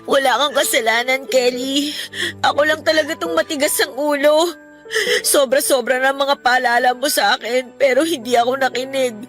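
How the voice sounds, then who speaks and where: A woman's voice: high voice, fairly even intonation, moderate pace, fairly bright tone. A Filipino woman playing a remorseful teenage girl in a radio drama, contrite and emotional. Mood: tearful, remorseful. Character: remorseful teenage girl apologizing to her best friend. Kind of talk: Tagalog radio drama acting. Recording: music bed under the voice.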